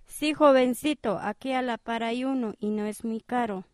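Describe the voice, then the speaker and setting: A woman's voice: on the high side, moderate pace, darkish tone, no room sound, studio quality, natural voice. A woman with a Guatemalan accent acting a helpful local señora in an amateur radio drama.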